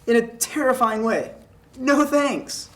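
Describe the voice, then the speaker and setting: A man's voice: very high voice, slow pace, fairly bright tone, exaggerated voice. A young man acting a blunt, sarcastic college buddy in a table read, with joking, slangy delivery. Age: young adult. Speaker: actor at a script table read. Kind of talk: casual dialogue read aloud from a script. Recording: noisy room recording.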